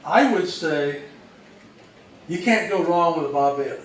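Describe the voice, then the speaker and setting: Male panelist, fairly high voice, informal answers, recorded from afar with heavy room echo. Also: expressive, a little slow. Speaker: panel presenter. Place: indoor event room, recorded from a distance. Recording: very echoey room, distant microphone.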